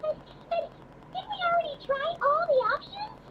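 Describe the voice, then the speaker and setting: A tiny fairy sidekick speaks in an extremely high, squeaky child's voice. The delivery is emphatic and plucky, like a game companion, on a slightly muffled track with faint noise. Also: female voice, normal speed. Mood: puzzled. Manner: emphatic, exclamatory.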